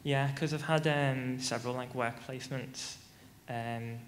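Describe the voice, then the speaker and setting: A teenage boy speaks on a large conference stage, holding a mic and filling his answers with ums and likes. His pitch sits in a middle range but stays very flat. A long hall reverb trails behind him with a faint hum of ambience. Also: measured pace. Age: teens. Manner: hesitant, many ums and likes. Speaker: student panelist. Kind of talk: panel conversation answers. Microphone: handheld mic.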